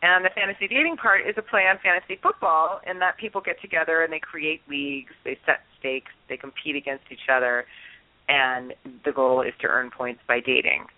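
A woman's voice: low voice, fairly even intonation, fairly quick, no echo. Giggly woman on a phone line. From Los Angeles. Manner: bubbly, laughs often, acts out friends' lines. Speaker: dating expert guest. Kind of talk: casual radio interview. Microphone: phone call.

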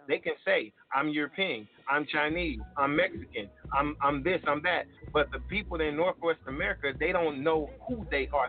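A man debating off the cuff in an emphatic way, repeating words and restarting his phrases as he presses a point. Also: a little high. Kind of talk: unscripted debate. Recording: tinny phone-like line, faint music behind.

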